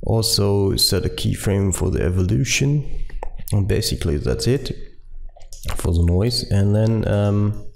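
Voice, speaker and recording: A man with a German accent, a German native speaker, explaining a software tutorial step by step with many ums and uhs. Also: lowish voice, a little slow, gravelly. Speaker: tutorial host.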